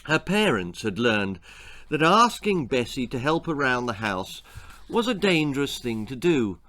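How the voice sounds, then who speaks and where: British man storytelling with very animated delivery. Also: mid-range voice, no room sound. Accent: British English. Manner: dramatic, relishing words, with deliberate pauses. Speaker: author reading own story. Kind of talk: theatrical children's storytelling.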